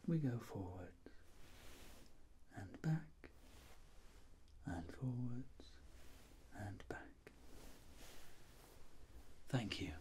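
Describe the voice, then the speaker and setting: A middle-aged man speaking in soft whispered ASMR, playing a clinic doctor giving gentle, unhurried instructions. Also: on the low side, a little hiss or hum.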